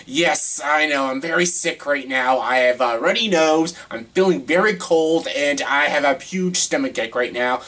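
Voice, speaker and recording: A man with a cold and a stuffed-up nose, chatting excitedly and rambling through a casual top-ten video. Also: fairly high pitch, moderate pace, hardly any pauses. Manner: excitable, rambling, repeats himself. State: congested with a cold. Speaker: vlogger ranking films.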